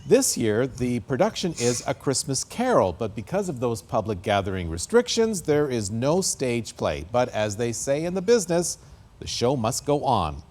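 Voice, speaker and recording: A man's voice: mid-range voice, big pitch swings, normal speed, a little mellow. A man hosting an arts feature, moving between scripted narration and interview questions. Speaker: interview host.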